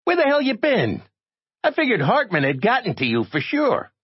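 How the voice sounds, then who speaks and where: A miniature male reporter, played by a male narrator, high-voiced and swooping as he gripes gloomily. The audiobook sound is dry and a little dull. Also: wildly swooping pitch, normal speed, husky, no room sound, full character voice. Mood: gloomy, agitated. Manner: gloomy, griping. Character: tiny gloomy male reporter, a few inches tall. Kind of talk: audiobook character acting.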